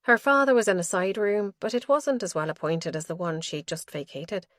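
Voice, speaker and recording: A woman's voice: mid-range voice, normal speed, no room sound, clean studio sound. A woman reading scripted narration as the narrator of a mystery audiobook.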